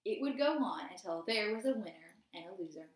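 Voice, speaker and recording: Female storybook reader, teacherly, expressive and deliberate, mid-pitched, recorded in an echoey room. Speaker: teacher reading a children's novel aloud. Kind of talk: storybook read-aloud narration. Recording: roomy, echoey indoor sound.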